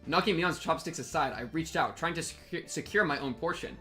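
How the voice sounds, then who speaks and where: A man's voice: a little high, brisk, bright, forceful tone. A young man giving casual, slangy, goofy commentary on a game and reading it aloud. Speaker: game streamer. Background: game music.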